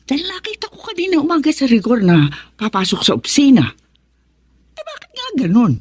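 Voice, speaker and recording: A woman's voice: sits high, wildly swooping pitch, fairly quick, a little mellow. An actress playing a nosy, scatterbrained middle-aged wife and mother who gossips and exclaims to herself in a Tagalog radio drama. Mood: scandalized gossiping.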